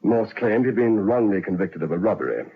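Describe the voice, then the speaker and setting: An actor playing a stern but humane prison governor in an old radio drama, formal and authoritative. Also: male voice, on the low side, animated, fairly quick, husky. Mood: grave, resigned. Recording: noisy, muffled old radio recording.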